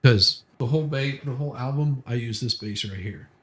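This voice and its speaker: A man's voice: medium pitch, a little fast, breathy edge. A man in casual livestream conversation, telling anecdotes and repeating phrases as he builds his point. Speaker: musician, bass player, livestream co-host.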